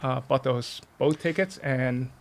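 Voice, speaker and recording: Creaky-voiced man with a Dutch accent. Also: mid-range voice, a little slow. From Netherlands, born in Russia. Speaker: podcast guest. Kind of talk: casual interview storytelling.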